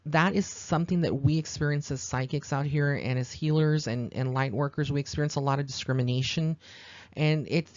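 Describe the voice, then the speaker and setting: A man's voice: medium pitch, a little mellow, no room sound. American man podcasting, slightly muffled dry sound. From Texas, United States. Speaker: psychic medium podcast host. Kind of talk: informal spoken podcast monologue.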